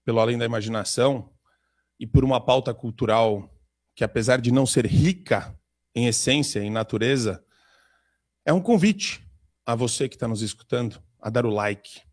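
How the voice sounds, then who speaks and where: A man's voice: mid-range voice, moderate pace. Male talk show host, sarcastic jokester, congested from a cold. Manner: joking, sarcastic banter. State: stuffy nose from a cold. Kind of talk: casual political talk show.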